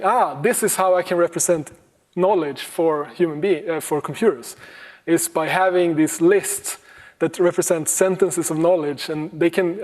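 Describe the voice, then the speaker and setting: A man giving a relaxed conference talk on computing history, with many pauses, fillers and restarts and the odd chuckle. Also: fairly high pitch. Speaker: conference speaker.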